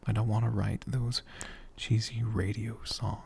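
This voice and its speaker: A man speaking as an ASMR host in a soft, gentle, hushed whisper, taking his time. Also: lowish voice, level tone, measured pace, creaky, a little hiss or hum.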